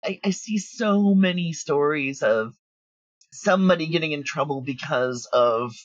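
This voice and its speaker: A woman's voice: low-pitched, animated, measured pace, no echo, lo-fi sound. A middle-aged woman giving casual, candid interview answers as an audio drama writer and producer. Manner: blunt, candid.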